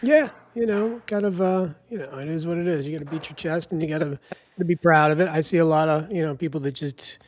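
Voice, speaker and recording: A man's voice: a little high, fast talker. A man guesting on a podcast, talking loosely and a bit boastfully about his sports bets over a remote line. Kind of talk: casual podcast chat.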